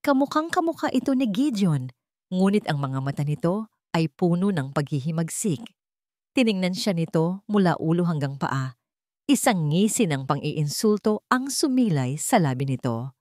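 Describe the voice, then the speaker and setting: Lower-pitched woman narrating a dramatic story. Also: sing-song, moderate pace, darkish tone, no echo, studio quality.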